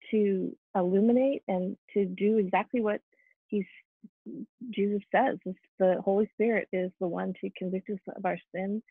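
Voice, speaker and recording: A woman speaking as a panelist in an earnest discussion, reflective and halting, over a tinny phone-like line. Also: medium pitch, vocal fry, breathy edge, dry recording.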